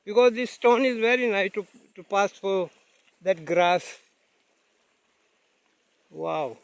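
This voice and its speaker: Slow, high-pitched man, native Urdu speaker, casual outdoor phone vlog, stream noise faint. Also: gravelly, clear, resonant tone, dry recording. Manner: halting, simple English with many fillers. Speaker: vlogger. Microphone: phone camera, no separate mic.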